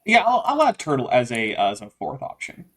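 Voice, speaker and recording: A man's voice: mid-range voice, huge pitch swings, a little fast, a bit bright. A man hosting a live stream chat, reading viewer messages aloud with wry, slightly formal phrasing and hesitant fillers.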